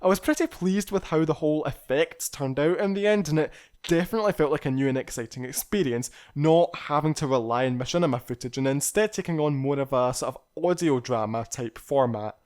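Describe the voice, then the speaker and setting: A man's voice: fairly high pitch, a little fast, hardly any pauses, a little mellow. A man narrating a scripted personal retrospective as a video creator, speaking in a candid, reflective and articulate way.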